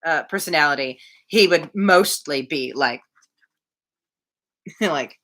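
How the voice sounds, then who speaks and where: A woman's voice: low-pitched, slow pace. A woman reading a novel aloud on a livestream, breaking into playful, casual commentary. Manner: playful, animated. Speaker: reader, livestream host.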